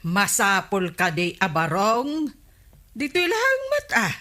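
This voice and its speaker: An actress playing a skeptical, nagging captain's wife in a comedy radio drama, with an Ilocano accent. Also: female voice, mid-range voice, wildly swooping pitch, slow, pushed and bright, heavily acted.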